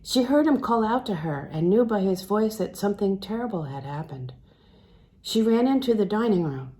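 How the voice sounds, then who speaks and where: A woman's voice: mid-range voice, animated, moderate pace, dark tone, poor audio. A woman reading a novel aloud as scripted narration. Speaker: audiobook reader.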